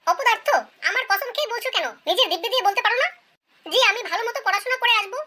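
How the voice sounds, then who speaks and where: A performer voicing a strict, bossy female schoolteacher who scolds and questions pupils in an animated comedy skit. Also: extremely high pitch, very fast. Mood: scolding.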